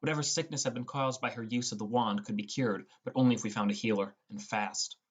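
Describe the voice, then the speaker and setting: Young male narrator, mid-range pitch, scripted first-person fantasy storytelling, audiobook reading. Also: fairly even intonation, quick pace, slightly rough.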